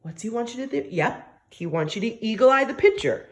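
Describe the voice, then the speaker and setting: A woman teacher reading a story aloud to young children, expressive and coaxing, often asking questions and stressing key words. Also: on the high side, a little fast. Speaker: primary school teacher.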